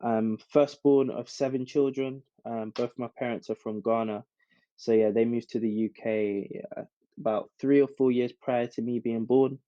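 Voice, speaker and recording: A man's voice: medium pitch, steady tone, moderate pace, mellow, no room sound. A man from South London with a London accent, answering casually and reflectively as a podcast guest. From South London, England. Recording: dull, dry recording with music.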